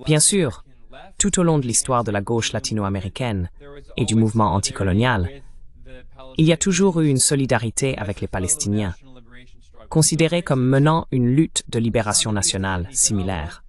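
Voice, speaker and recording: A man with a mid-pitched voice speaks as an interviewed commentator in a dubbed programme. His delivery is measured and didactic, with emphasis placed on key points. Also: expressive, darkish tone.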